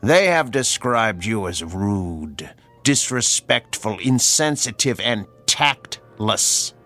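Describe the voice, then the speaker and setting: A mysterious stranger in a radio drama, who speaks in a low voice with slow, deliberate formality. His delivery rises and falls widely. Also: sing-song, fairly bright tone, soft background music, full character voice. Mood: stern. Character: mysterious calm male stranger who judges people.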